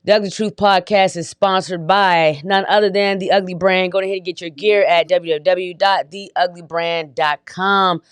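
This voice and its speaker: A woman's voice: on the low side, fairly even intonation, moderate pace, hardly any pauses, smooth, dry recording. A woman hosting a podcast in a hyped, slangy, upbeat way, casually chatting with her guest. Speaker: podcast host. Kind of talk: casual interview chat.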